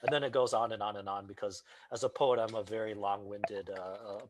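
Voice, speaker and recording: A man's voice: on the low side, steady tone, fairly quick, no room sound. A man, a poet, talking loosely and hesitantly about his poem, then reading a few lines of it aloud. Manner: rambling, hesitant, self-deprecating. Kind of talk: casual talk and poetry reading.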